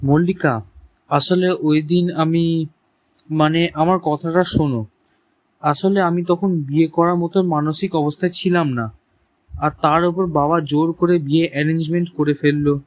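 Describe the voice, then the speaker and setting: A man's voice: a little high, fairly even intonation, a little slow, no room sound, natural voice. A man playing a hesitant, remorseful young husband in a cartoon story, speaking earnestly. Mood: apologetic. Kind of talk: animated story dialogue.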